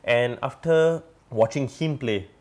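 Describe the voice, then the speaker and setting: A man's voice: medium pitch, takes it slowly, a little mellow. A young man, a guest telling his story in a rambling way full of fillers. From Singapore. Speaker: interview guest, musician. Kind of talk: casual interview storytelling.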